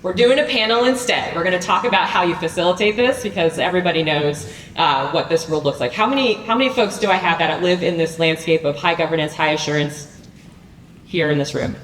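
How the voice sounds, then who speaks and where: A woman's voice: fairly low pitch, fairly quick, reverberant, distant mic, a little hiss or hum, low-quality recording. A woman moderating a conference panel in a large room, chatty and upbeat, with many fillers.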